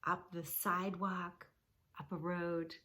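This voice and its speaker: A gentle woman reading a picture book to children and guiding them through yoga poses. She speaks slowly in a low, soothing voice. Her instructions are calm and clear. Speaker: kids yoga teacher and reader.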